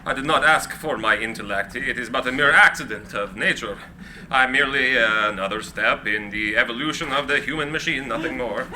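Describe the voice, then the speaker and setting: An actor playing a shy, high-minded inventor in a script reading, speaking formally with halting stammers. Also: male voice, pushed and bright. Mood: awkward, modest. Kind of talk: live screenplay reading. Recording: live room recording with faint noise.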